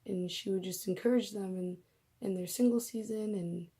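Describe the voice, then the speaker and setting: A young woman vlogging from her bedroom while doing her makeup, chatty and rambling, her voice raspy as she comes down with a cold. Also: medium pitch, steady tone, moderate pace, mellow. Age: young adult. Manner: chatty, rambling, laughs at herself. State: coming down with a cold. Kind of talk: casual faith vlog.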